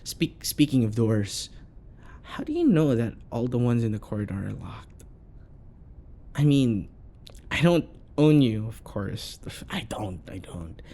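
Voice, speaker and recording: A man acting a possessive, jealous yandere boyfriend in an intimate ASMR roleplay, coaxing and passive-aggressive with sweet pet names and quiet menace. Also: mid-range voice, sing-song, unhurried, gravelly, full character voice. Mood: jealous, controlled.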